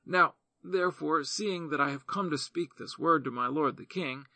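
A woman's voice: low-pitched, moderate pace, bright, forceful tone, no echo, full character voice. A man reading the part of a grieving widow pleading earnestly before a king. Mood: imploring. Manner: earnest, imploring.

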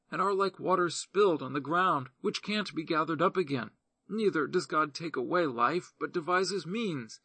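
A woman's voice: low voice, gravelly, a bit bright, breathy edge, no room sound, voice pushed up, full character voice. A man reading the part of a grieving widow pleading earnestly before a king. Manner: earnest, imploring.

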